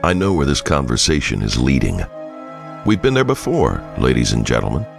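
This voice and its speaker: Weary vigilante: very low, gravelly, grave and controlled, with swings in pitch, for audio drama. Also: male voice, very animated, moderate pace, exaggerated voice. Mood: curt, dismissive. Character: weary, despondent brooding billionaire vigilante man. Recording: music behind the voice.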